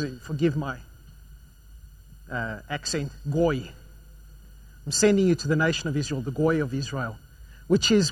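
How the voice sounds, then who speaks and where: A man preaching a sermon, swinging between loud emphatic passages and quiet, low ones.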